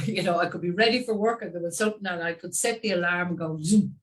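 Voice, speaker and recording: A woman in her sixties with an Irish accent, a guest chatting about parenting in a lively, laughing way. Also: fairly low pitch, quick pace, recorded from a distance, slight background noise. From Ireland. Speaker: guest expert. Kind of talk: casual conversational interview.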